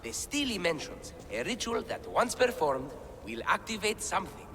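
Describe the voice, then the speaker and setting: A man's voice: high-pitched, sing-song, moderate pace, a bit bright, long reverb tail, slight background noise. An actor playing an eager male scholar deciphering ancient writing, talking about his discoveries with enthusiasm. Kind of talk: game cutscene acting.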